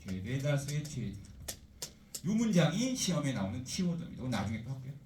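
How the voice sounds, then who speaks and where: Guy teacher lecturing, chatty and animated, asking the class stuff all the time, echoey room, mic a bit far off. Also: medium pitch, a little fast, slight background noise. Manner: chatty, animated, full of rhetorical questions.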